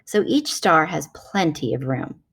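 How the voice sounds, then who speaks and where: A woman's voice: sits low, big pitch swings, a little slow, creaky, fairly bright tone, husky. Woman reading a children's science book aloud.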